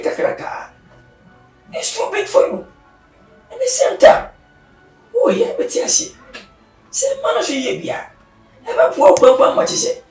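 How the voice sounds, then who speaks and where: A man putting on a shrieking, excitable character who wails, squeals and protests. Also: very high-pitched, voice pushed up, heavily acted. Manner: squealing, laughing and whining. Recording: roomy, distant mic with music.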